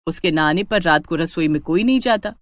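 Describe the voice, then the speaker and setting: A woman's voice: fairly low pitch, fairly quick, no room sound, clean studio sound. A woman reading a scripted family story as a dramatic storyteller. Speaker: story narrator.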